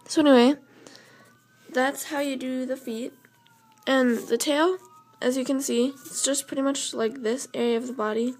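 A young woman with a slightly hoarse, fairly high voice walks through a drawing lesson step by step. Her delivery is casual and loose, full of fillers and quick self-corrections. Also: fairly even intonation, a little mellow. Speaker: drawing tutorial host. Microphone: camera over the drawing paper, speaker off frame.

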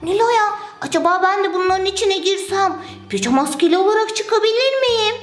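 A very high, childlike voice put on by a performer for an eager, curious little cartoon toy character. The delivery is playful and exclamatory, made for a children's skit. It sounds in an echoey room with music behind. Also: lively intonation, brisk, fairly bright tone. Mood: pleading, eager. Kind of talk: children's toy play skit, acted dialogue. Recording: roomy echo with music behind.

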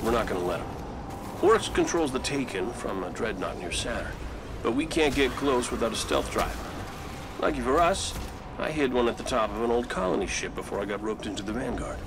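An actor playing a cocky, wisecracking robot scout in a video game, glib and sardonic with a casual swagger. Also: male voice, medium pitch, brisk, noisy background, lightly acted. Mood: brisk, urgent. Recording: game soundtrack with music behind. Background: music.

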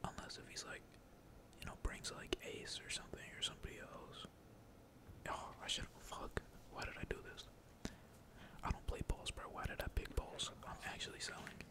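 A person whispering casual, slangy, sweary gaming commentary in a breathy, unvoiced ASMR style. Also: moderate pace. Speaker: gaming video creator. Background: computer keyboard typing.

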